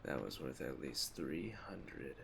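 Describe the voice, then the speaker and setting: Guy doing a mafia boss asmr thing, really deep crackly voice, polite but menacing, takes his time, bit of storm noise behind. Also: expressive, a bit bright, exaggerated voice. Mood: calm, quietly menacing. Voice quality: gravelly, low. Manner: measured, deliberate, smooth-talking, faintly menacing. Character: mafia Don, calm, courteous, quietly menacing crime boss. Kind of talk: ASMR roleplay addressed to the listener. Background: storm ambience.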